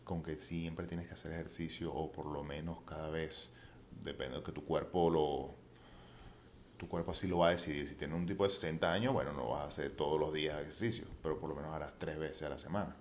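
A man's voice: a bass voice, flat delivery, brisk, a little hiss or hum. A man co-hosting a casual chat, rambling and restarting his sentences. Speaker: podcast co-host.

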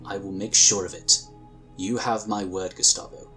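An actor playing a courteous, reassuring inquisitor of a magical order in a sci-fi audio drama, speaking in formal, measured, polite phrases. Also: male voice, lowish voice, level tone, bright, forceful tone, soft background music.

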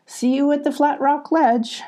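A woman's voice: high-pitched, fairly even intonation, a little slow, warm tone, pitched above the performer's own voice. A woman voicing a motherly, wise, reassuring owl in a children's storybook, with hooting exclamations. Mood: resolute.

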